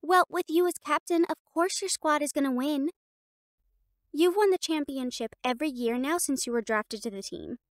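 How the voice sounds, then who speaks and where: A woman performs a young catgirl cheerleader captain from an audio roleplay, with a high, girlish pitch. She is bossy and sassy, punching her words with sharp-tongued mean-girl confidence. The sound is dry, with no room around the voice. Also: moderate pace, dry recording, lightly acted. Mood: teasing. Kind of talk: scripted audio roleplay acting.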